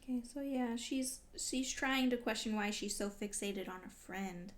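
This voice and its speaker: A woman's voice: fairly high pitch, normal speed. A woman doing a casual read-along reaction as a vlogger, talking in an exclamatory, emotionally invested way. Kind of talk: casual reaction commentary while reading.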